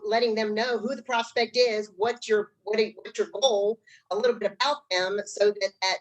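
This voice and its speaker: A woman's voice: medium pitch, low-quality recording. A middle-aged woman, a guest business trainer, gives conversational instruction in a plain-spoken, emphatic manner.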